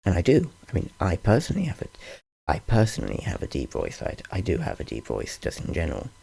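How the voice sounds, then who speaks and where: Deep, gravelly man; slow, rambling, self-correcting tutorial host, dark tone, studio condenser mic. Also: huge pitch swings. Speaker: channel host explaining audio gear. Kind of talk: casual screen-recorded tutorial. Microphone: large-diaphragm studio condenser.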